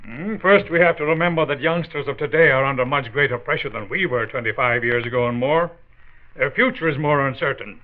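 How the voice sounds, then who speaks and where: An actor playing an earnest hospital psychiatrist in a 1940s radio drama, explaining formally on a thin old recording. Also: male voice, mid-range voice, a little fast, narrow, tinny audio, close to an everyday voice. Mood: earnest, explanatory.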